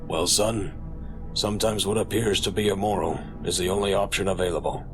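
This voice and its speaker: A man playing a coolly paternal, evasive admiral in a sci-fi drama, measured, formal and dismissive. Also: low voice, fairly even intonation, over music, natural voice. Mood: evasive, calm. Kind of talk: sci-fi audio drama acting. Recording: clear background ambience noise.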